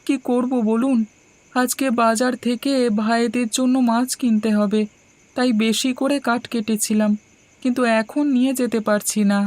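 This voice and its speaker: A woman's voice: on the high side, steady tone, unhurried, a little mellow, dry recording, natural voice. A woman voicing a humble, honest, hardworking young boy, the youngest brother in a cartoon folktale. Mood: humble, earnest. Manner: meek, earnest, polite.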